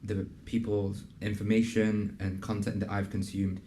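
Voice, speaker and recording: Low, mellow-voiced man hosting an interview. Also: level tone, normal speed, slight background noise. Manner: conversational, filler-heavy, earnest pitching tone. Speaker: host and program founder. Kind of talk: casual promotional interview and pitch. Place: in a building in a city business district.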